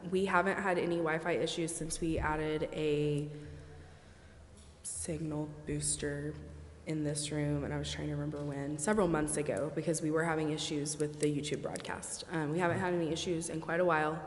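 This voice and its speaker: Low-voiced woman in an echoey chamber. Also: level tone, normal speed. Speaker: city staff member presenting to a council. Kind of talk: explanatory meeting presentation. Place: council chamber. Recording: echoey council chamber with noise.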